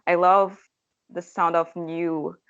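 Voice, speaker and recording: A woman's voice: fairly low pitch, little pitch movement, slow, smooth, lo-fi sound. A young woman whose first language is Portuguese, a language teacher answering interview questions eagerly, with many restarts. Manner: enthusiastic, talkative, with restarts.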